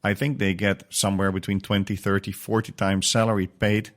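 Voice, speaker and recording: A man's voice: lowish voice, little pitch movement, brisk. A man with a Dutch accent talking conversationally in a reflective way, sometimes searching for his words. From Netherlands. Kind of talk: conversational, personal account.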